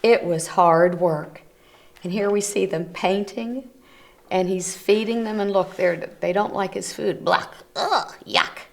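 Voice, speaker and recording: A woman reading a picture book aloud to children, gently explaining the pictures as she goes. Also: medium pitch, slow pace, creaky. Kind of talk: read-aloud storytelling for children. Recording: community TV recording.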